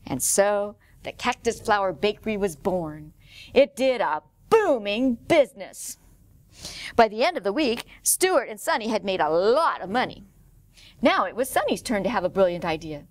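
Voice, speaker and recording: A middle-aged woman storyteller reading a picture book aloud to children in an expressive way. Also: mid-range voice, normal speed, no echo. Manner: expressive, clearly paced for children. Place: indoor room, standing before a painted wall.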